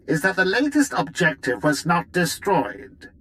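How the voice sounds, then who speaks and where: Game villain: smug male officer, raised pitch, taunting, commanding. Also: very animated, a little slow, background noise. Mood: menacing, commanding. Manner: taunting, imperious. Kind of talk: video game cutscene acting.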